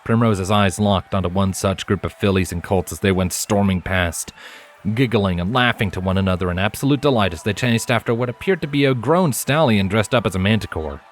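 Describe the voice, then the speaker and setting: A man's voice: fairly low pitch, brisk, a little hiss or hum. A man reading a story aloud as scripted narration. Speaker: fan fiction narrator.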